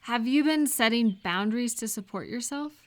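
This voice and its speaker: A woman playing a kind, caring friend in a comfort roleplay audio, speaking gently and reassuringly with soft questions. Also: fairly high pitch, lively intonation, normal speed, lightly acted. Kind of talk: comfort audio roleplay, one-sided conversation.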